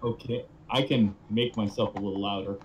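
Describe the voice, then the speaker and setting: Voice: sits low, steady tone, fairly quick, highs cut off. A co-commentator on a game livestream, chatting casually over a faintly noisy voice-chat microphone.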